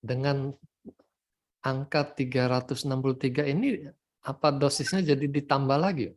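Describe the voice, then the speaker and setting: A man's voice: medium pitch, steady tone, moderate pace. A man with an Indonesian accent hosting a conversational interview, asking follow-up questions and restating answers. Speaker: host of a testimonial livestream, addressed as doctor.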